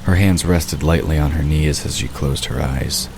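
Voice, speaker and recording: A man narrating an audio drama in a calm, measured way. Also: a bass voice, creaky, background noise.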